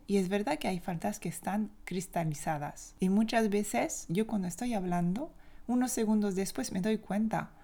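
A woman from France speaking with a French accent, a language teacher giving a reflective, opinionated solo podcast talk in measured phrases. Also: mid-range voice, vocal fry, warm tone.

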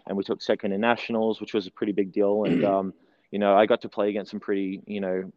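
A man's voice: on the low side, little pitch movement, quick pace, darkish tone, muffled recording, slight background noise. A man in his thirties with a mixed Australian and New Zealand accent, chatting as an interview guest and telling stories with lots of fillers and restarts. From New Zealand, raised in Australia. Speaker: guest, strength and conditioning coach. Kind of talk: casual interview conversation.

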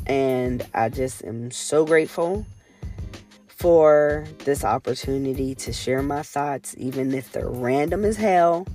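Hoarse, raspy low-voiced woman podcaster. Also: a little mellow. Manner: candid, earnest, swears casually. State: hoarse from a cold, coughing. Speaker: podcast host. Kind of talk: casual solo podcast monologue.

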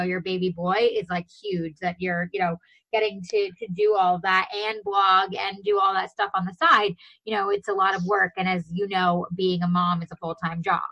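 A woman's voice: mid-range voice, vocal fry, fairly bright tone, lo-fi sound. A woman in her thirties hosting an interview show, gushing and chatty with lots of "you know" and "like". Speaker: show host. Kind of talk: casual interview chat.

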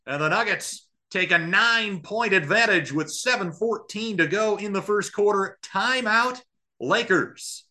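Man, very bright sharp voice, slightly high pitch, live basketball radio commentary, clipped running play calls. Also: unhurried. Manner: present-tense running call in short clipped phrases.

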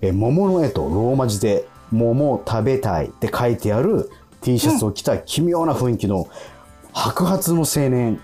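A man's voice: mid-range voice, big pitch swings, measured pace, dark tone, husky, soft background music. A man co-hosting a radio show, reading out mail and chatting casually plenty of small interjections. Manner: chatty, frequent backchannels. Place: remote recording from home.

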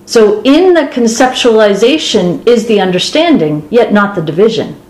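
A woman's voice: medium pitch, sing-song, normal speed, a little mellow, a little hiss or hum. Woman co-host, expansive explainer, echoey room. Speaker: podcast co-host. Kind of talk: spiritual discussion. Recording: roomy echo.

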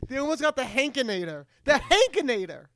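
A man's voice: very high voice, sing-song, a little fast, fairly bright tone, no echo, studio quality. A man hosting a casual, comedic podcast chat, stammering and repeating his phrases as he goes. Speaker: podcast host.

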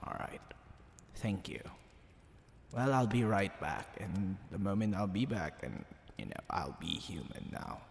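A man voicing a teasing, seductive and smug demon king in an ASMR roleplay, drawling theatrically with gravelly tones and whispered asides. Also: sits low, animated, measured pace, heavily acted. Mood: flustered, teasing. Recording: long reverb, faint background noise.

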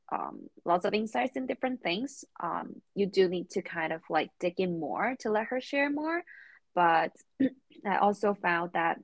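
Low-pitched young woman, English speaker. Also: no room sound. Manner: halting, careful phrasing in non-native English. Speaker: podcast guest, recent therapy student. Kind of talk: reflective interview answers.

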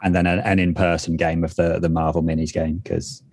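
Deep-voiced man chatting casually. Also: little pitch movement, brisk, darkish tone, no room sound. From England. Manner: chatty, with frequent restarts and fillers. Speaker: podcast co-host. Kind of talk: casual chat.

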